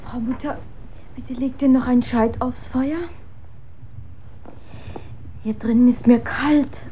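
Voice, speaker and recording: Dreamy young daughter, period radio drama, lovesick, higher-pitched, archaic speech, muffled noisy recording. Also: female voice, steady tone, slow pace. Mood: ailing, defensive. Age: young adult. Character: lovesick, shivering young daughter in olden times.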